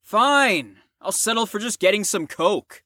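A woman's voice: mid-range voice, animated, a little slow, bright, forceful tone, no room sound, clean studio sound. A woman playing a brash, crude, flippant psychiatric patient in an audio drama, with a theatrical, swaggering manner. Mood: sardonic.

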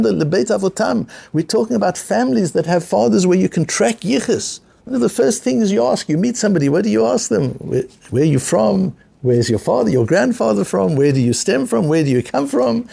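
Man, middle-aged, husky voice, dark tone, earnest Talmud class lecture, repeats phrases. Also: on the high side. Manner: earnest, repeats phrases for emphasis, Yiddish and Hebrew terms. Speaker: rabbi teaching a Talmud class.

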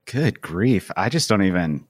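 A man's voice: sits low, very animated, unhurried, no room sound, clean studio sound. A man who grew up in Portugal, telling his own story as an interview guest in an informal, self-effacing way. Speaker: podcast guest, filmmaker. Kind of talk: conversational personal storytelling.

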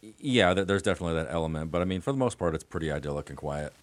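A middle-aged American man with a very low voice, talking as a podcast guest. He tells his stories in a relaxed, rambling way with plenty of fillers. The sound is close and dry, with no room around it. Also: rapid-fire, no room sound. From United States. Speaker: attorney and guest. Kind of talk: casual interview chat.

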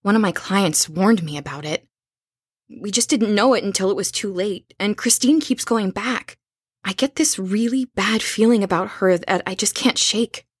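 An actress plays an anxious young woman in a horror audio drama. Her voice is husky and mid-pitched, recorded dry and close. She speaks earnestly and urgently, resolute though troubled by nightmares. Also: normal speed, dry recording, close to an everyday voice. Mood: uneasy.

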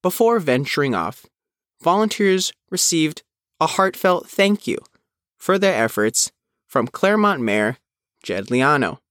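A man's voice: on the high side, fairly bright tone, no room sound, studio quality. A man reading a scripted news report as its reporter, in a narrated style. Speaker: reporter reading own written article.

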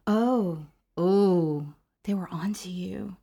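An actress playing a woman prisoner who reacts to what she hears in a slow, expressive way. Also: fairly low pitch, vocal fry, slightly rough, full character voice. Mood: reactive. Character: reactive female prisoner Phyllis.

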